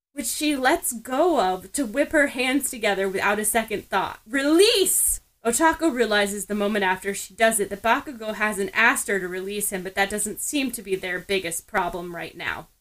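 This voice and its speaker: A woman's voice: medium pitch, fairly bright tone. A woman narrating fan fiction expressively, as in an audiobook. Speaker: podfic reader.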